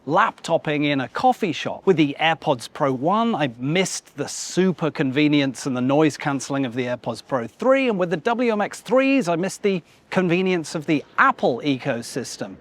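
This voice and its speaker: A British man narrating a scripted but conversational video essay as its host, with wry, playful, self-deprecating asides. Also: fairly high pitch. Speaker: YouTube host.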